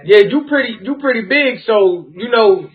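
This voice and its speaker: A man's voice: extremely high pitch, animated, normal speed. A man in his thirties hosting a chat and speaking to his guest in an encouraging, affirming way. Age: 30s. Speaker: host. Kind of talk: supportive casual chat. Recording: tinny phone-like audio with faint music.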